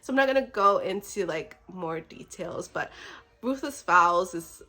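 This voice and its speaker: A woman's voice: fairly low pitch, lively intonation, measured pace, bright, forceful tone, soft background music. A woman book vlogger who is slightly under the weather, chatting casually in an effusive, giggly, rambling way. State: slightly sick.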